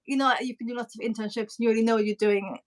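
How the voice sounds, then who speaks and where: A woman's voice: a little high, steady tone, very fast. A woman from Germany with a German accent, a pattern cutting teacher chatting on a live stream, with frequent fillers and restarts. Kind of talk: casual live-stream chat.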